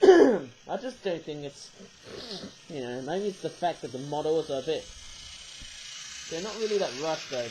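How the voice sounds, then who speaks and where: A young man with a croaky voice, rambling hesitantly as he hosts a casual crafting vlog, full of filler phrases. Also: on the high side, noisy background. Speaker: craft channel host.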